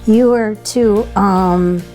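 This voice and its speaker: A woman's voice: medium pitch, very slow, a little mellow, soft background music, pitched above the performer's own voice. Woman doing taunting monster truck brothers. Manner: mocking, taunting. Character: teasing, know-it-all male monster truck brothers.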